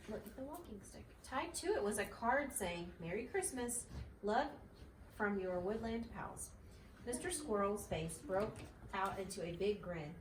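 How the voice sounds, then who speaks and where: A woman reading a children's story aloud as a mother would, in a home-style recording. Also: a little high. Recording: echoey, slightly distant, faint noise.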